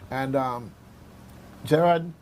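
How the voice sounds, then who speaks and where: A man hosting unscripted talk radio in a halting, rambling way, repeating words as he goes. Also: mid-range voice, slight background noise. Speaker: radio host.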